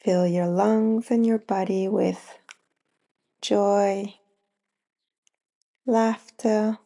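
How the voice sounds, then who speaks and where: A woman leads a guided relaxation as a yoga nidra teacher. Her voice is mellow and soft-edged, with a clear creak of vocal fry. She speaks very slowly, in short phrases separated by long pauses. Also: medium pitch, fairly even intonation. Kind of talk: slow guided relaxation meditation.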